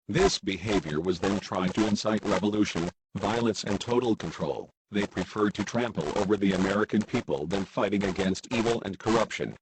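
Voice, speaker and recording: Embattled president played by a man, low male voice, solemn and declamatory, formal address over clear noise. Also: lightly acted. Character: grave, embattled male President of the United States. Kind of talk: formal televised emergency address.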